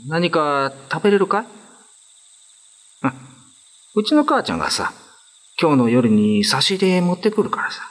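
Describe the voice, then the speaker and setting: A man's voice: on the high side, sing-song, normal speed, long pauses, a bit bright, voice pushed up. A man playing a kind, modest young male prison guard in a radio drama, with casual, boyish, reassuring speech. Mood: modest, gentle. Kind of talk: Japanese radio drama acting.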